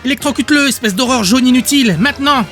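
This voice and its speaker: A man's voice: quick pace, a bit bright, soft background music. A man voicing a furious, hateful woman trainer who snaps orders at her monster in an audiobook. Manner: shrill, snapping orders.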